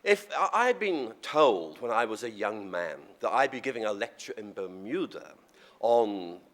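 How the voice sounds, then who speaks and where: A middle-aged man giving a public lecture, his voice husky and sore from a cold, speaking in a conversational, wry way. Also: lowish voice, very animated, moderate pace, a bit bright. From Northern Ireland.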